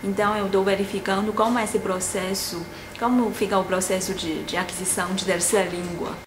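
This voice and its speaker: A woman in her late twenties from China, with a Chinese accent and Mandarin as her first language. She answers interview questions haltingly, filling pauses with many "ah" sounds as she searches for words. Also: medium pitch, fairly even intonation, noisy background. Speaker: graduate student and language teacher. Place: indoor office room with a globe and plants. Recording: roomy with audible background ambience.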